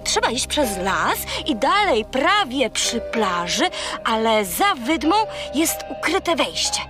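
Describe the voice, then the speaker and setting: High, animated, chatty seagull. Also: female voice, takes it slowly, over music. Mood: eager storytelling. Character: scatterbrained, chatty female seagull, boastful storyteller. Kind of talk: children's audio drama acting.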